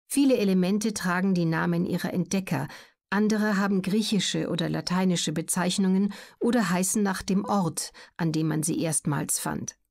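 A woman reads scripted educational narration for an audiobook in a measured, factual manner. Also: lowish voice, vocal fry, darkish tone.

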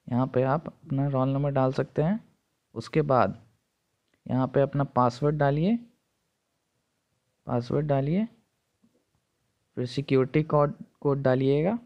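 A man's voice: medium pitch, measured pace, long pauses, dull audio. A man narrating an informal step-by-step tutorial, instructing and repeating each step, recorded on a phone. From India. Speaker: tutorial presenter.

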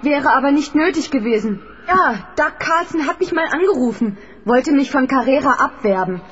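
A woman's voice: high voice, lively intonation, moderate pace, fairly bright tone. A woman playing a spirited, outspoken racing driver's companion in a children's radio play. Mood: matter-of-fact, pointed. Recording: dull old cassette, noisy background.

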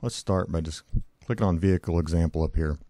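A deep-voiced man, hoarse from a cold, talks through a screen-recorded tutorial in a loose, rambling way, full of "uh"s and restarts. His tone is dark and mellow, and the sound is dry and close. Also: fast, no echo. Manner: casual, rambling, many fillers and self-corrections. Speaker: tutorial host.

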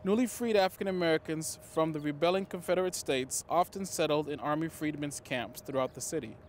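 A man in his thirties reading a scripted history presentation to camera, measured and formal, recorded on a lapel mic outdoors in a cemetery. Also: on the high side, a little hiss or hum.

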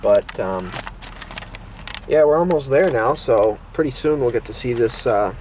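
A man's voice: medium pitch, expressive, unhurried, rough recording. A young American man chatting casually to the camera as a vlogger, recorded on a handheld camera. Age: young adult. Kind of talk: casual vlog chat. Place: old pickup truck cab and roadside outdoors. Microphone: handheld camera at arm's length. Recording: loud road, engine and outdoor noise.

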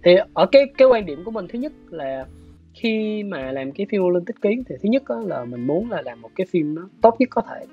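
A man in his thirties with a southern Vietnamese accent explains his views in a measured, hesitant interview style. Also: high-pitched, big pitch swings, slightly rough. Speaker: guest, animation director. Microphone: video call. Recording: dull video-call audio, music behind. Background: soft plucked guitar music.

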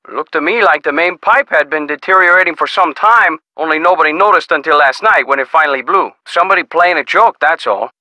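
An actor playing an easygoing, skeptical small-town sheriff in a video game, with a folksy, casual manner. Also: male voice, on the high side, bright, forceful tone, no room sound, low-quality recording. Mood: folksy, unhurried. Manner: folksy, casual, drawn-out reactions. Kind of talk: video game character dialogue.

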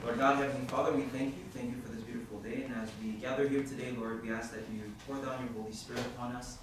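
A man from Haiti, a youth minister, praying and then telling a story to a group in a halting, informal way with many fillers. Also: medium pitch, fairly even intonation. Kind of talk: opening prayer and talk to a group. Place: hall at a university centre. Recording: echoey room from far off, background noise.

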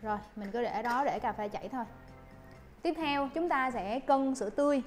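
A woman's voice: fairly high pitch, moderate pace, over music. Polite young woman teaching coffee making. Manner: polite, addresses viewers with soft fillers. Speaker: barista instructor. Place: coffee shop counter indoors. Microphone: lapel mic.

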